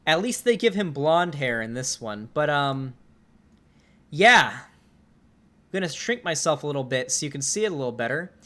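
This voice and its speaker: A young man in his twenties talks straight into a desk mic during a live stream, his voice sitting a little high for a man. He comments casually and breaks into exasperated, swearing outbursts. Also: moderate pace, long pauses. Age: 20s. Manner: swearing, animated and exasperated, with laughs.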